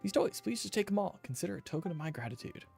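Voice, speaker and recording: A man's voice: high-pitched, expressive, very fast, vocal fry, darkish tone, soft background music, pitched above the performer's own voice. A man voicing a flustered, grateful old alpaca park keeper in a video game, fussy and earnest. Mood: apologetic, grateful. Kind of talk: reading game dialogue in character.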